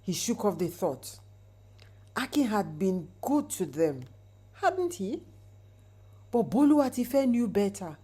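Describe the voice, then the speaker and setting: A woman's voice: mid-range voice, very animated, takes it slowly, long pauses, dark tone, breathy edge. A woman narrating a scripted folktale in a dramatic, suspenseful storytelling manner with rhetorical asides.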